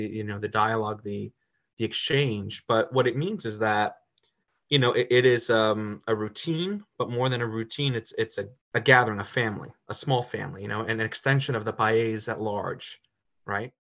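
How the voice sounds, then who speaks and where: A man's voice: fairly low pitch, normal speed, a bit bright, lo-fi sound. A man who teaches Italian, talking casually on a podcast, rambling with many fillers and restarts. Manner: rambling, lots of "you know" and restarts. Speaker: Italian teacher and parish community member.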